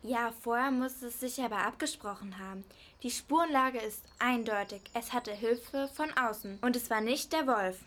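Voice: mid-range voice, moderate pace, fairly bright tone, close to an everyday voice. A female performer playing a shrewd, stern mother in an amateur school radio play. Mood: suspicious.

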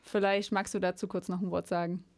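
A woman's voice: fairly low pitch, little pitch movement, brisk. A woman hosting a radio programme, introducing segments and putting questions to her guest. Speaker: radio show host. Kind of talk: radio moderation and interview.

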